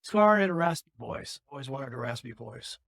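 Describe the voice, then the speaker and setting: A man with a raspy voice hosting a podcast, talking candidly and earnestly about his own life, rambling with frequent restarts. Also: medium pitch, lively intonation, moderate pace, fairly bright tone, dry recording. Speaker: podcast host. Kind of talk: candid personal talk.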